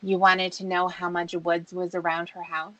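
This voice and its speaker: Sharp, low-pitched woman interrogating. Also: level tone, normal speed, no echo, low-quality recording. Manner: pressing, accusatory, insistent. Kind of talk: confrontational questioning.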